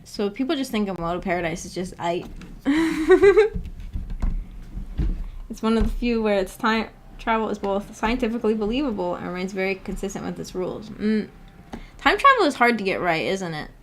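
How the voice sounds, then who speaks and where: A woman's voice: mid-range voice, sing-song, moderate pace, slight background noise. A woman hosting a casual livestream, reading out and answering viewer comments in a giggly, hesitant, self-effacing way. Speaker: livestream host.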